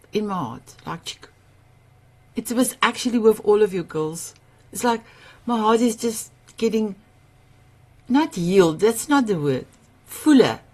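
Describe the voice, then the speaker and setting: Effusive woman with an Afrikaans-tinged voice. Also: medium pitch. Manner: effusive, searching for words, repeats phrases. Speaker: summit host. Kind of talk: warm livestream summit hosting.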